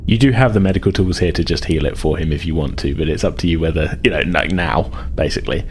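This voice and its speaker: A man acting as game master, narrating a tabletop game casually in a halting, explanatory way. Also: a bass voice, a little hiss or hum.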